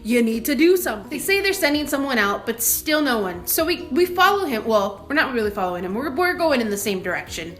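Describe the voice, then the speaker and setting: High, bright-voiced young woman telling a story. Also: very animated, fairly quick, few pauses, soft background music. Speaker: vlogger telling a personal story. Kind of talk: casual animated storytelling.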